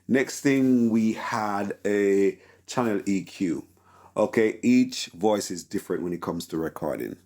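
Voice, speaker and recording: A man with a deep voice teaching a casual tutorial on mixing vocals, with a relaxed, filler-heavy delivery full of "okay?". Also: measured pace. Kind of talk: casual screen-recorded tutorial.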